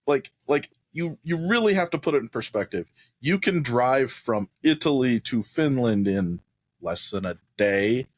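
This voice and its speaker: A man's voice: medium pitch, animated, unhurried. A man co-hosting a podcast in casual chat, explaining his points at length and often checking in with "right?". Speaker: podcast co-host.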